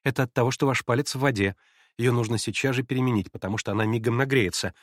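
A man reading aloud, voicing an excitable, imperious young invalid girl who gives urgent commands. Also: medium pitch, fast, dry recording, clean studio sound, lightly acted.

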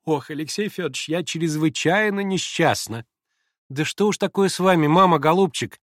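A man's voice: a little high, normal speed, fairly bright tone, no room sound, studio quality, voice pushed up, lightly acted. A man reading aloud, voicing a theatrical, flustered middle-aged society mother who gushes and exclaims. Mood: distraught. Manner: gushing, exclamatory, melodramatic.

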